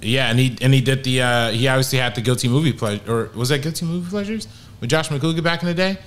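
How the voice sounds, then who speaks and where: A man's voice: mid-range voice, fast, fairly bright tone. A man on a casual panel show, chatty and teasing the others.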